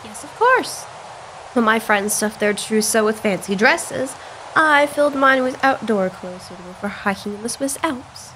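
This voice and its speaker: Woman acting a high-voiced, dreamy Victorian bride. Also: animated, moderate pace, fairly bright tone, slight background noise, low-quality recording. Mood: happy. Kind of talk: Victorian audio drama acting.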